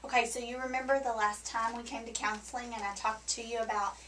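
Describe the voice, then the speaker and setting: A woman counselor with a scratchy, hoarse voice, explaining things gently and patiently to a client in a counseling room. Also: a little high, fairly even intonation, fast talker. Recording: distant mic with faint background noise.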